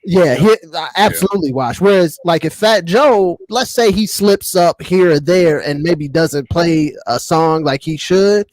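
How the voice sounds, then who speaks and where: A man's voice: fairly high pitch, unhurried, hardly any pauses. An American man chatting casually on a hip-hop panel, peppering his points with "right" and "like". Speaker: podcast panelist.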